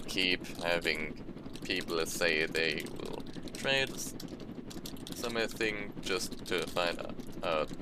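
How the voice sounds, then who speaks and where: A young man with a low voice chats loudly and slowly over a live game stream, trailing off and restarting his words. He sits at a computer at home. Keyboard clatter and typing sit clearly behind him. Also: noisy background. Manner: loud, rambling, self-interrupting. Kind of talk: casual live-stream chatter while gaming.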